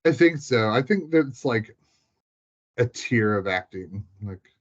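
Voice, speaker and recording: A man's voice: medium pitch, animated, takes it slowly, dull audio. A man chatting casually and informally about films, thinking aloud as he goes.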